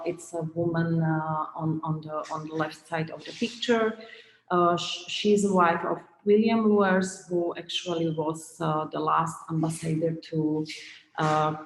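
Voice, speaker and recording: A woman in her thirties with a Slovak accent, a curator giving an online talk, pausing often with "uh" as she searches for words. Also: fairly low pitch, slight background noise. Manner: halting, many "uh" fillers, searches for words. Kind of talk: online presentation. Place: room at home, indoors. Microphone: laptop webcam video call. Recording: echoey room with long reverb.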